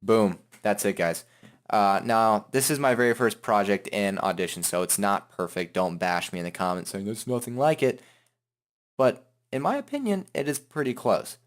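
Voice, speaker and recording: Low-voiced man giving a casual tutorial. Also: animated, normal speed. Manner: informal, rambling, hums filler syllables while working. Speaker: tutorial host. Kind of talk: casual improvised software tutorial.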